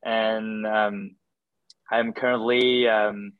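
A man's voice: on the low side, little pitch movement, drawn-out words, clean tone, no echo, phone-quality sound. A man, a doctoral student answering interview questions in a hesitant way, full of fillers and restarts. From France. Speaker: podcast guest, doctoral student.

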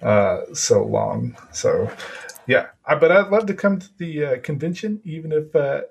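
A man's voice: fairly high pitch, lively intonation, a little slow, gravelly, slight background noise. A man, a guest in casual chat, who rambles and restarts his sentences. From United States. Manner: rambling, self-interrupting storyteller with repeated restarts.

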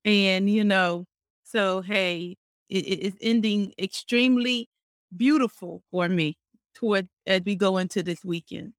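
A middle-aged woman co-hosting a casual podcast, expressive and animated, speaking warmly about faith and community. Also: mid-range voice, a little slow, no echo, clean studio sound. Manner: warm, expressive, talks about faith and blessings; frequent fillers and restarts, animated storytelling. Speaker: podcast co-host.

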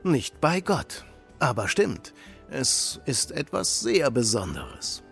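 An actor playing a gentle, middle-aged widowed father who patiently explains faith to children in a children's audio drama. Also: mid-range voice, wildly swooping pitch, slow pace, vocal fry, faint music behind, heavily acted. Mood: patient, earnest. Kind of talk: German children's radio play acting.

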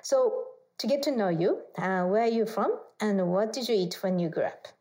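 Woman, podcast host, friendly, polite, mid pitch, slightly non-native phrasing. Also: lively intonation, moderate pace, mellow. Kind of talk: podcast interview.

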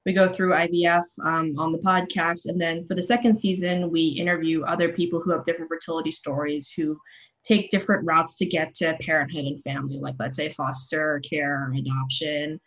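A woman in her thirties talks as a podcast guest. She speaks plainly and directly, in a somewhat low voice. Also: flat delivery, a little fast, rough recording. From Philippines, raised in New Zealand. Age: 30s. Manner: direct and matter-of-fact. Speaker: guest, journalist. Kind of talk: casual interview. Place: remote call.

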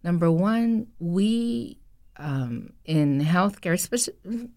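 A middle-aged woman from Costa Rica, a public health dean, answering podcast interview questions in a measured, thoughtful way. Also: fairly low pitch, expressive, a little mellow.

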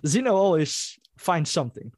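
Dutch-speaking guy rambling with laughs, kinda high voice, dry sound. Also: sing-song, moderate pace, no room sound. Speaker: band member. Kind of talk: casual interview chat.

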